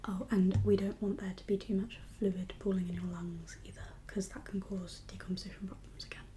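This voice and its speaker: A woman whispering an ASMR medical roleplay as a kindly doctor, gentle and reassuring in an unhurried, professional way. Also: mid-range voice, little pitch movement, a little hiss or hum. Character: kindly doctor for undead patients. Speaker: ASMR performer playing a doctor.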